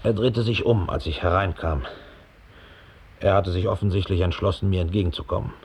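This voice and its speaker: A hulking middle-aged fanatic gone to seed in a radio drama. His voice is low and rough with huskiness. He tells his story with sour contempt and a swollen sense of his own importance. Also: fairly quick. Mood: resentful. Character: big, slovenly, resentful old Nazi underground operative. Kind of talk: radio drama monologue, police statement. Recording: 1960 studio radio play.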